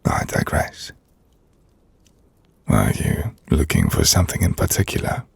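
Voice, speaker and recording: A man playing an ancient, brooding vampire lord in an intimate ASMR roleplay, speaking formally and drily in a gravelly, breathy near-whisper. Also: a bass voice, very animated, no room sound, exaggerated voice. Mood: dry teasing. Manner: formal, measured, dry. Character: ancient brooding vampire lord, dryly teasing, courteous host.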